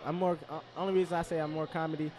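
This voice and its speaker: Slangy laid-back young man, high voice. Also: little pitch movement, rapid-fire, a little mellow. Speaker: guest comedian. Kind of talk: casual chat.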